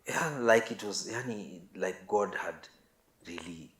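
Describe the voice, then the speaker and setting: A man's voice: sits low, takes it slowly, a bit bright. A Kenyan man telling anecdotes casually as an interview guest, acting out bits of dialogue as he goes. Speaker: interview guest, musician recalling career. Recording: roomy, echoing sound.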